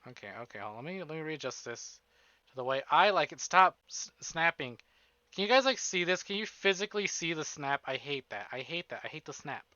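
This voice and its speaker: A man hosting a casual livestream, chatting in an excitable, playful, profane way. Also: a little high, a little fast, no room sound. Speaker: livestream host. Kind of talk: casual streaming chat between visual novel readings. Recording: dull lo-fi stream audio under music. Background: prominent music.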